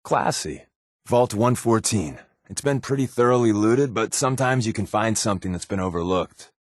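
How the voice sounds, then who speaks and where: An American actor playing a sardonic, wisecracking secret agent companion in a video game, with a wry, offhand delivery. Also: male voice, on the low side, lively intonation, moderate pace, no room sound, clean studio sound. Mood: sarcastic.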